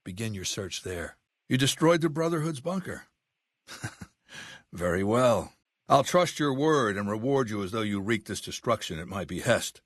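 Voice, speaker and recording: An actor playing a ruthless warlord in a video game, speaking in a commanding, deliberate and coldly sardonic manner. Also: male voice, fairly low pitch, animated, dry recording, clean studio sound. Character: ruthless, imperious male warlord commanding a legion. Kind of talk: video game character dialogue.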